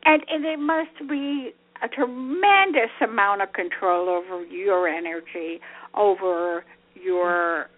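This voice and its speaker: An older woman hosting a phone interview, reflective and halting, speaking of energy. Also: medium pitch. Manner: reflective, talks of energy, slow halting phrasing. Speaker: host. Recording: narrow telephone line.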